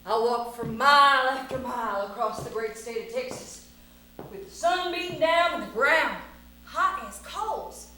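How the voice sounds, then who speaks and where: A woman's voice: high-pitched, measured pace, pushed and bright. A woman playing a plucky, boastful cowboy who spins a tall tale with folksy Western bluster, as live storytelling. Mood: determined, bold. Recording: very echoey room, faint noise.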